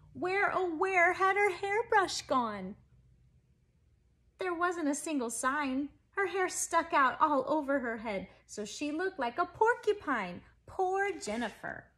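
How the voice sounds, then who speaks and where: A woman in her forties reads a children's picture book aloud at home. Her voice is on the high side, with expressive, emphatic phrasing aimed at young listeners. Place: living room at home.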